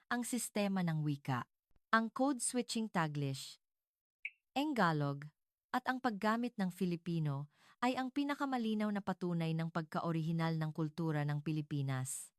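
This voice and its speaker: A woman narrating a scripted first-person story in a formal, measured read-aloud manner. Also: lowish voice, no room sound, studio quality.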